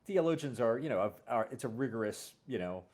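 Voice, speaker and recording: A man, a show host and writer, chatting casually in a halting way, repeating words and often adding "you know". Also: mid-range voice, expressive, darkish tone. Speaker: series creator and show host. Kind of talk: casual conversation about a fiction series.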